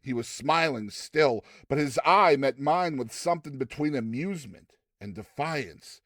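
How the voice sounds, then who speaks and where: Casting: male narrator, mid-range voice, very expressive dramatized storytelling with sweeping intonation. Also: medium pitch, measured pace, dry recording, studio quality.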